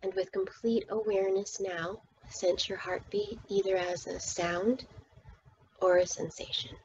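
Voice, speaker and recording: A woman leading a guided meditation as its teacher, speaking soothingly and often dropping toward a breathy whisper. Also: medium pitch, fairly even intonation, a little slow, darkish tone, highs cut off, slight background noise.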